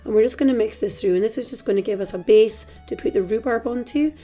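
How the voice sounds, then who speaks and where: A Scottish woman hosting a cooking tutorial in a chatty, relaxed way, her voice a little hoarse from a dry throat. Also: a little high, quick pace, faint music behind. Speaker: cooking channel host. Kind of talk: casual cooking tutorial voice-over.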